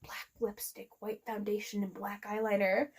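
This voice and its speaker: A woman's voice: mid-range voice, flat delivery, normal speed, darkish tone, slightly rough. A woman reading fan fiction aloud in a theatrical, giggly, comedic way. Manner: giggly, theatrical, often breaks into laughter.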